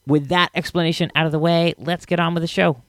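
A man with a hoarse, worn-out voice hosting a podcast, chatting casually with laughs and fillers. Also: a little high, steady tone, fast, a bit bright, no echo. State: hoarse, losing his voice. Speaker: podcast host.

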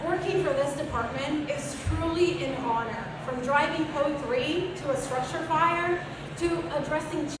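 A woman's voice: sits high, unhurried. A woman with a Guatemalan Spanish accent, a native Spanish speaker, giving a heartfelt award acceptance speech at a conference. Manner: heartfelt, emotional. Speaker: award recipient. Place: conference hall with audience. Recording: echoey hall from far off, crowd noise.

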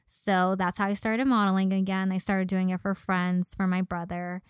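Young female podcast guest, slight Californian Valley girl accent, mid-pitched smooth voice, conversational. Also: level tone, normal speed, no echo, clean studio sound. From Los Angeles, born in Mexico. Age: young adult. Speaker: podcast guest, model and personal trainer. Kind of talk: casual conversational interview.

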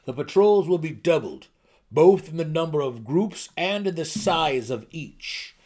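Man acting a gnome king, fantasy audiobook, stately, measured, authoritative, deliberate. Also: on the high side, lively intonation, a little mellow, pitched above the performer's own voice. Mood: commanding, decisive. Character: gnome king, male, commanding, measured, calming his anxious council.

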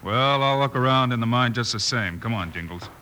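An actor playing a calm, courteous, commanding cowboy lawman hero in an old-time radio western. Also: mid-range voice, brisk. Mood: calm, firm. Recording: very noisy vintage radio recording.

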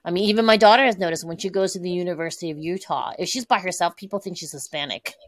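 A middle-aged woman from Taiwan, speaking as a podcast guest in an earnest, candid way with lively emphasis. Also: lowish voice, quick pace, no room sound. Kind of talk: conversational interview.

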